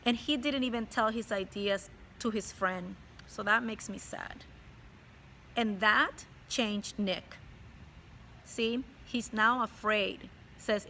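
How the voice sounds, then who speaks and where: A woman's voice: mid-range voice, slight background noise, rough recording. A woman teacher reading a chapter book aloud to children and stopping to explain it to them. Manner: warm, explains to children directly. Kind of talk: read-aloud storytelling with commentary.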